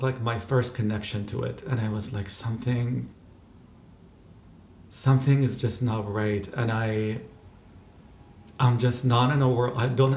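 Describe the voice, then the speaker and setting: A man's voice: medium pitch, gravelly, a little mellow, echoey room, slight background noise. A man from Qatar in his thirties, answering interview questions thoughtfully, with fillers and restarts. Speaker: interview guest, physician and activist.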